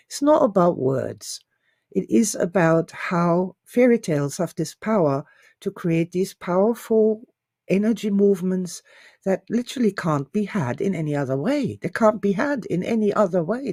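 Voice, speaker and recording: A woman's voice: on the low side, a little mellow, no echo, clean studio sound. A middle-aged woman giving an informal, enthusiastic talk as an author and teacher, gushing and repeating phrases for emphasis.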